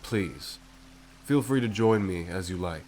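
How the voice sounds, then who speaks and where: A man voicing a courtly, composed Butterfly King in an ASMR story roleplay, speaking in a regal, formal and deliberate manner. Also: deep voice, expressive, a little mellow, slight background noise. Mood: gracious, calm.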